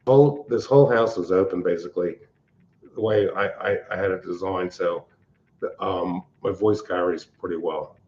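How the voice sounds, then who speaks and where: An older man, hosting a casual livestream from his open-plan home, talking in a loud, blunt, rambling way. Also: low-pitched, moderate pace, lo-fi sound. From Pennsylvania. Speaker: livestream host. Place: large open-plan house at night.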